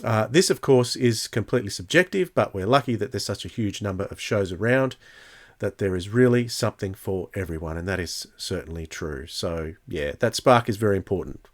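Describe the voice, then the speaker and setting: A man hosting a podcast in a casual, hesitant way, chatting and reading out comments in a halting delivery. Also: lowish voice, animated. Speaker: podcast host.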